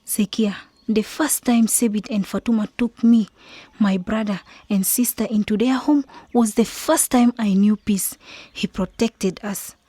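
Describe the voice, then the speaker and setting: A woman playing a gentle, anxious young village woman and caring friend in a radio drama, speaking softly and earnestly. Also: medium pitch, fairly even intonation, a little slow, no room sound, close to an everyday voice. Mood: troubled, afraid. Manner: soft, earnest.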